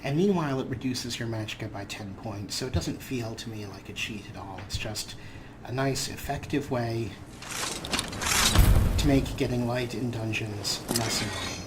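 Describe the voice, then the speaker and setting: A man's voice: mid-range voice, moderate pace, heavy background noise. A man hosting a let's play, explaining game mods with dry, wry humor in casual commentary.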